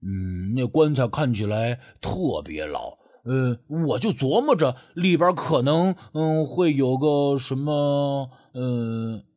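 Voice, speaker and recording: A man's voice: mid-range voice, animated, slow, hardly any pauses, a little mellow. A man playing a sly, sheepish rural man in an audiobook, stammering and wheedling as he angles for money. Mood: sheepish, hesitant. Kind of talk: acted audiobook dialogue.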